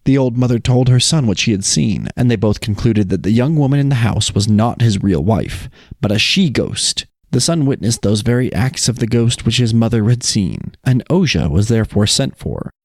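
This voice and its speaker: A man's voice: medium pitch, expressive, moderate pace, hardly any pauses, a little mellow, dry recording, clean studio sound. A man co-hosting a folk tale podcast, talking and telling stories. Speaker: podcast co-host. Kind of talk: casual chat and storybook reading of folk tales.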